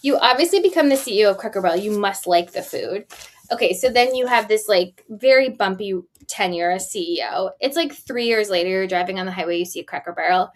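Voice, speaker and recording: A woman's voice: mid-range voice, lively intonation, brisk. A woman in her forties co-hosting a podcast, telling business news casually with rhetorical questions and emphatic asides. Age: 40s. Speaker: podcast co-host.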